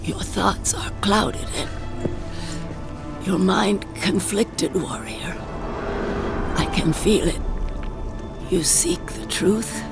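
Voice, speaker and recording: Slow, creaky-voiced solemn oracle. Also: female voice, on the low side, exaggerated voice. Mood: grave, knowing. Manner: measured, portentous. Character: solemn, mysterious female oracle. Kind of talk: dramatic video game acting. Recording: loud music behind.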